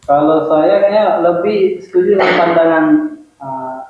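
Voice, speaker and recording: Young male co-host from South Sumatra, slightly high voice, hesitant fillers, boomy dull mic. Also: steady tone, normal speed. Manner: searches for words, many fillers like "apa" and "nah". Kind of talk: casual chat. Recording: echoey room, dull sound.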